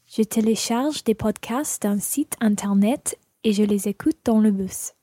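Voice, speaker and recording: Woman acting as friendly female learner, soft dark tone, careful hesitant delivery, language-course radio play, dry sound. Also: medium pitch, normal speed, husky, no room sound, natural voice. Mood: earnest, careful.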